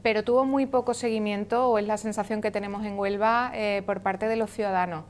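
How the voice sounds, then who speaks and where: A woman's voice: medium pitch, fairly even intonation, fairly quick, a little hiss or hum. A woman analyst asking detailed questions on a TV interview panel. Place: television studio.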